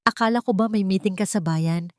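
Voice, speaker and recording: A woman voicing a warm-hearted, playfully scolding female cafe owner in a romantic audio drama. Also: medium pitch, brisk, no echo, clean studio sound, natural voice. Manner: fond, chiding.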